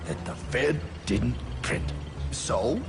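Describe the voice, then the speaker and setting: An actor playing a brash, condescending man who lectures a naive pal in an animated cartoon. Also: medium pitch, slow. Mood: lecturing. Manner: emphatic, condescending. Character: brash, know-it-all man explaining money to a naive pal. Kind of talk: animated cartoon dialogue and narration. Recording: music behind the voice.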